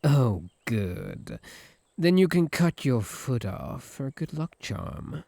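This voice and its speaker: A man's voice: mid-range voice, very animated, slow pace, creaky, dark tone, no room sound, exaggerated voice. A British man voicing a smug, possessive incubus suitor in a comedic ASMR roleplay, sarcastic and flirtatious. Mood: dry, mocking.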